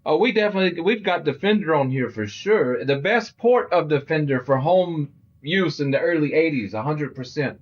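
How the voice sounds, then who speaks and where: Middle-aged male stream host, loud and talkative, slightly high voice. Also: animated, moderate pace, muffled recording. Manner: loud, chatty, talks to viewers. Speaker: livestream host. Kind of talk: casual gaming livestream chat.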